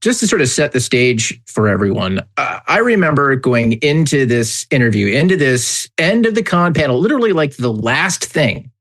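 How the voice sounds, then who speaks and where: A man co-hosting a podcast in casual chat, speaking emphatically and deliberately as he restarts phrases. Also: mid-range voice, very animated, fairly bright tone. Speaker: podcast co-host.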